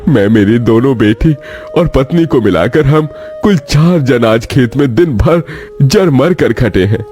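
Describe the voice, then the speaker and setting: A man's voice: mid-range voice, lively intonation, a little slow, dark tone, soft background music, natural voice. Plaintive poor farmer father, acted by a man. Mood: humble, pleading. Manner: plaintive, pleading. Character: poor, worried, sorrowful older farmer father. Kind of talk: Hindi cartoon story acting.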